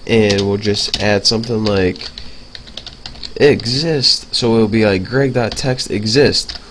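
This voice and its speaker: A young man with a stuffy nose from a cold walks through a coding tutorial in a loose, chatty way, full of "like" and "um." His voice sits a bit low. Faint hiss and soft typing hang behind him. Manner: casual, filler-heavy, talks while typing. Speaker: programming tutor. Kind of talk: casual screencast coding lesson.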